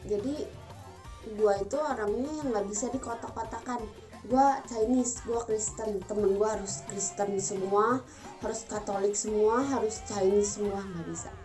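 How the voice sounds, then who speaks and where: A young woman in her early twenties with a Sundanese Indonesian accent chats to camera in a relaxed, slangy way. She speaks at a middle pitch, and her talk leans emphatic. Clear music plays behind her. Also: moderate pace. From Bandung, Indonesia. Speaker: vlogger.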